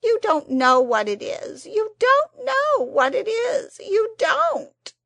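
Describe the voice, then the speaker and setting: A cross, sulky little sand creature who grumbles about every wish and goes squeaky when upset, as a woman puts him on. The voice is pitched high and swoops widely, with a gravelly edge. Heard dry and close. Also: huge pitch swings, slow, a bit bright, dry recording, full character voice. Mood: tearful. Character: grumpy, self-pitying male sand fairy creature. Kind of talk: storybook character voice.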